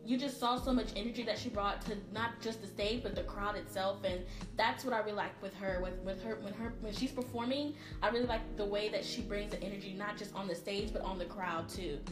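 A woman's voice: medium pitch, steady tone, brisk, hardly any pauses, roomy sound, music in the background. A woman with a Texan accent, raised in Texas, co-hosting a casual podcast chat in a playful, teasing way. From Barbados, raised in Texas. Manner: playful, teasing, laughs often. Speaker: podcast co-host.

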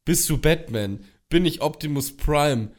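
A young man co-hosting a podcast, hoarse from a cold, rambling and complaining in casual chat with plenty of swearing. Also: fairly high pitch, expressive, a little slow. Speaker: podcast co-host.

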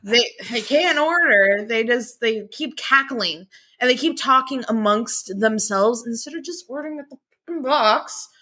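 Bright, animated woman co-host. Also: on the high side, normal speed, breathy edge. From Nebraska, USA. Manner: animated, profane, exasperated storyteller. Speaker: podcast co-host. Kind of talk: casual podcast chat.

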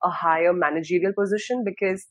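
A woman in her thirties from Dubai talks about her career on a podcast. Her speech is relaxed and reflective, full of small pauses. The recording is dry and close, with no room sound. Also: on the low side, no room sound. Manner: thoughtful, many fillers and restarts. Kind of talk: casual interview talk.

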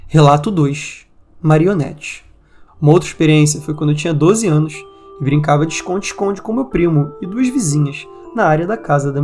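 A man's voice: a little high, darkish tone. A man with a Brazilian accent hosting a podcast, reading out and commenting on listeners' eerie stories. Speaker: podcast host. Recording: music bed under the voice. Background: background music.

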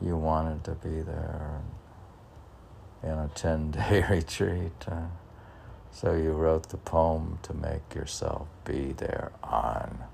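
Male poet, very low gravelly voice, rambling self-questioning delivery, halting and teasing, faint background noise. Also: a little mellow. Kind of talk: rambling solo poetry reading and commentary.

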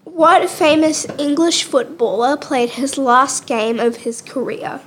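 A congested woman with a sore throat, reading quiz questions on a casual podcast. Her voice sits high and she talks slowly and quietly, with a faint hiss of noise behind her. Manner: soft and quiet. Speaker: quiz presenter. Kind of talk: casual chat.

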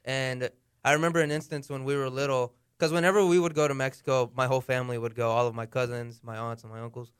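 A young man from the United States, a guest in a casual chat, telling frank stories in a relaxed way. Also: medium pitch, quick pace, clean tone, dry recording, studio quality. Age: young adult.

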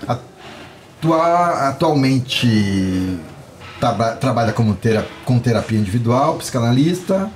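A man's voice: medium pitch, expressive, takes it slowly, faint music behind. A man with a Brazilian Portuguese accent hosting a podcast, joking and laughing as he reads a guest's introduction. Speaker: podcast host. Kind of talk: playful podcast banter.

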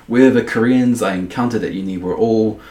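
A man's voice: lowish voice, fairly quick, warm tone, roomy sound. A young man, a podcast guest, talking casually, rambling and full of restarts and "like". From New Zealand. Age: young adult. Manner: rambling, heavy use of "like", many restarts.

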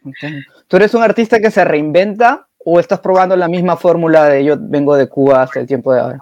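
A man's voice: on the high side, a little fast, darkish tone, no echo, muffled recording. A man interviewing a musician, who mostly gives brief affirmations and then asks long questions.

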